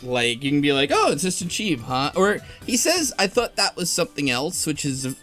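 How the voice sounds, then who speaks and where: A man's voice: a little high, big pitch swings, a little fast, a bit bright. A man chatting in a rambling, informal way as a podcast panelist recapping a story. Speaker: book club podcast panelist. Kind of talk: casual chat recapping a game's story. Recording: music playing behind the talk. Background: music.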